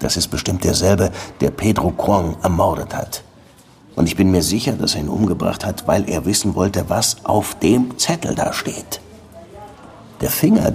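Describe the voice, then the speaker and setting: A man's voice: low voice, gravelly. An actor playing a weary, sardonic male police detective in Havana, dry and wry, in a radio drama. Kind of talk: radio drama dialogue and first-person narration.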